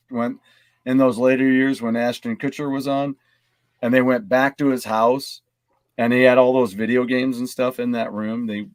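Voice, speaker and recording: A man's voice: mid-range voice, no echo. A man chatting casually as one of a group of podcast panelists.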